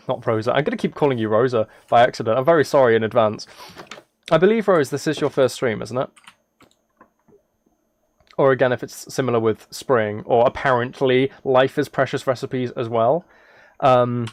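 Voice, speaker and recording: A British man talks casually as he streams a game. His pitch sits in the middle, and he rambles along with self-deprecating, apologetic asides. The recording is very dry and close, with no room sound. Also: dry recording. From United Kingdom. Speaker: live streamer playing a video game. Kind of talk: casual livestream chat while gaming.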